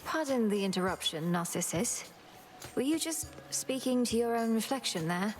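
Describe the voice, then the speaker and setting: A woman's voice: a little high, animated, moderate pace, natural voice. Woman as a composed, wry young witch. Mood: polite, dry. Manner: formal, dry. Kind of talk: game character acting. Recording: loud music behind.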